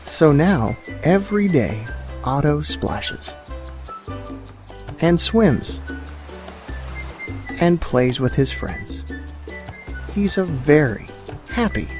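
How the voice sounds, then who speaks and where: A man reading children's bedtime stories aloud in a slow, gentle storybook manner. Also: a little high, big pitch swings, faint music behind.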